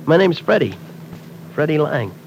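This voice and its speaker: A cocky streetwise wisecracker. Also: male voice, a little high, big pitch swings, moderate pace, creaky, a little hiss or hum, poor audio. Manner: slangy, cocky, tough-guy talk. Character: brash streetwise young man, wisecracking tough. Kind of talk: 1940s radio acting.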